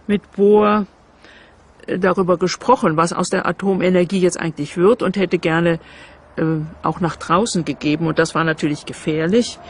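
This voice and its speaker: Male interviewee, high pitch, gravelly, hesitant, spontaneous recollection. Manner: hesitant, searching phrasing.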